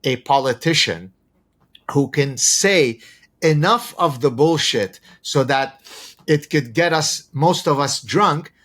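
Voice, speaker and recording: A man's voice: on the high side, animated, fairly bright tone. Professorial man, native Arabic speaker. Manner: professorial, deliberate, rhetorical questions. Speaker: podcast guest. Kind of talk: conversational explanation with examples.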